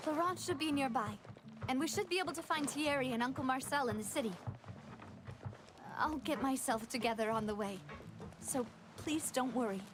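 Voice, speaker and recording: A woman's voice: high-pitched, moderate pace, over music, lightly acted. A woman playing a determined, caring young woman in a video game, speaking earnestly and resolutely. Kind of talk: dramatic video game dialogue.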